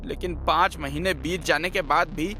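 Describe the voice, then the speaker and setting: A man playing an exasperated book publisher, wry and businesslike, in a conversational animated story style. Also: a little high, normal speed, a little hiss or hum.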